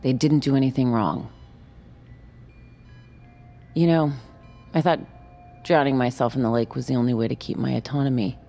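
An actress playing a bitter, grieving woman who is cool, taunting and deliberate, in a scripted audio drama. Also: rumbling low, steady tone, warm tone, soft background music. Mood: cold resolve. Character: bitter, grieving woman bent on erasing a man's legacy.

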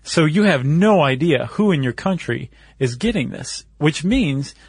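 A man's voice: a little high, lively intonation, a little slow, slightly rough, no echo. A man co-hosting a casual podcast, explaining things step by step in informal, slangy language. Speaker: podcast co-host.

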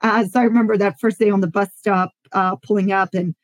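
Polish-born woman telling lively stories. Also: medium pitch, brisk, no room sound. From Poland. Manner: animated storyteller, acts out quotes, laughs often. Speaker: podcast guest. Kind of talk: casual interview storytelling.

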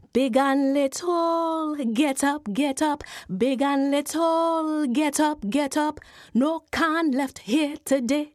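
A woman voicing a chorus of Jumbie spirits in a slow, loud, sing-song chant. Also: high-pitched, dry recording, clean studio sound.

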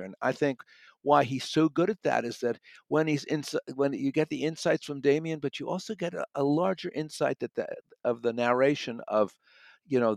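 A man speaking as a guest book critic in conversation, somewhat halting, rephrasing and repeating words for emphasis. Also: medium pitch, dry recording.

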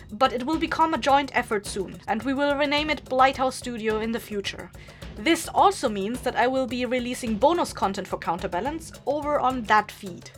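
A woman hosting a podcast, giving announcements to listeners in a chatty, informal, upbeat way. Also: a little high. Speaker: podcast creator and host. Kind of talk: casual scripted announcement to listeners.

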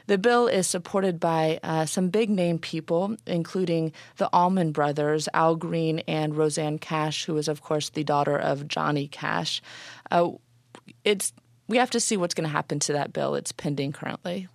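A woman journalist, a guest on a radio show, explaining a legal matter clearly and briskly. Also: low-pitched, fairly even intonation, no echo, studio quality. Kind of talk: radio interview answers.

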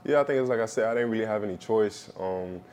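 A young man from Belgium whose first language is French, answering interview questions in a relaxed, halting way with many pauses. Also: on the low side, a little hiss or hum. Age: young adult. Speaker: basketball prospect, interviewee.

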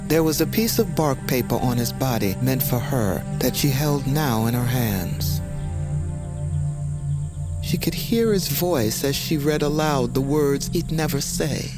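A man giving a grave, measured, literary narration of a dramatic story. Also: medium pitch, animated. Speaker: narrator of an audio fiction story. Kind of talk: solemn scripted story narration. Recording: music bed behind narration.

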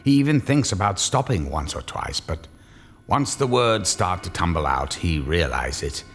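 A man acts as the narrator of a radio horror story, in a very low voice with a long hall reverb. His scripted telling is theatrical and measured, over faint music. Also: very animated, fairly bright tone, full character voice. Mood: ominous, wry. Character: omniscient male narrator of a horror radio tale, dramatic.

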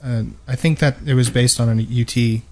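A man's voice: mid-range voice, steady tone, normal speed. A man co-hosting a podcast in casual, joking gaming chat. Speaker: podcast co-host.

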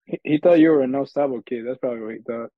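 A man's voice: mid-range voice, rapid-fire, no echo. Teasing man on a tinny line. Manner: teasing banter. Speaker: podcast co-host. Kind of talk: casual chat.

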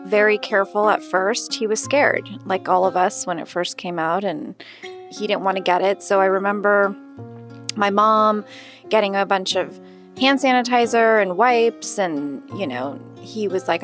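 A woman giving a personal, spoken reminiscence as an interviewee. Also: medium pitch. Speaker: interviewee remembering her late father.

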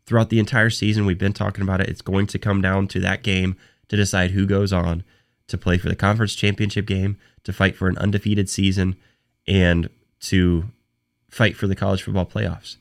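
A young man with a fairly low voice hosts a sports talk show on his own. He is hoarse from a cold and his voice is fading. He talks off the cuff in halting bursts, restarting phrases and doubling words. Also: little pitch movement. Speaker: podcast host. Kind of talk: solo sports talk podcast.

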